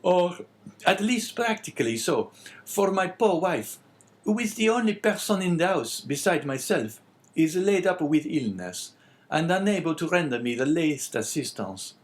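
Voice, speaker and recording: A man's voice: fairly high pitch, lively intonation, unhurried, voice pushed up. A man voicing an obsequious, talkative French innkeeper who is eager to please, in a storybook reading. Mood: confiding. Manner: fawning, effusive, talkative.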